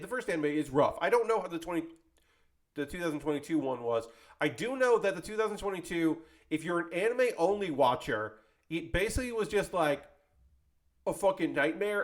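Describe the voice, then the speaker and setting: Male host, slightly high voice, off-the-cuff commentary, conversational and meandering, self-correcting. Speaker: host of an anime club video show. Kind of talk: casual unscripted commentary. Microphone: close microphone.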